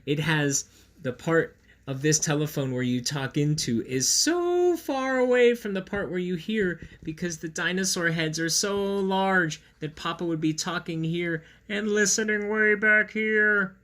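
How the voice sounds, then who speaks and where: A man's voice: sits high, measured pace, bright, forceful tone. A man reading aloud and chatting to children in a playful, silly, theatrical way. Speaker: children's story reader and host. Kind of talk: read-aloud and chat for children. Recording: roomy echo, clear background noise.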